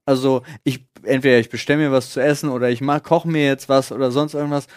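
A man's voice: medium pitch, fairly even intonation, fast talker, clean tone, no room sound, studio quality. A man co-hosting a podcast, telling personal anecdotes in casual, colloquial chat. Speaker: podcast co-host.

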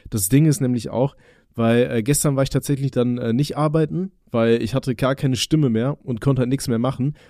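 Young male podcast co-host, warm, dark tone, chatty and stammering, dry sound. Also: mid-range voice, steady tone, normal speed, dry recording. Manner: rambling, stammering restarts, joking. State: recovering from a cold. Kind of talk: casual chat.

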